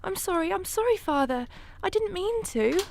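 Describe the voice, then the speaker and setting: A woman's voice: extremely high pitch, expressive, normal speed, gravelly, a little mellow, a little hiss or hum, full character voice. An actress playing a timid, apologetic little girl, a professor's daughter in a radio drama, with a plaintive manner.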